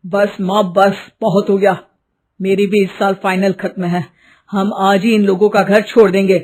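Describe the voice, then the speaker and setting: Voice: mid-range voice, flat delivery, a little slow, a little mellow, close to an everyday voice. A performer playing a resentful, vengeful young man, a housemaid's son, speaking emphatically in a dramatized story. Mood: indignant, vengeful. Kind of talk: dramatized Hindi moral story.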